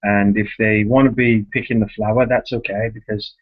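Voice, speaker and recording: A man's voice: fairly low pitch, little pitch movement, moderate pace. A man hosting a conversational interview on a conference call, talking emphatically and often checking for agreement. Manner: emphatic, repeats words and seeks agreement with tag questions. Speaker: host, youth soccer club director. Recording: muffled, lo-fi conference call line.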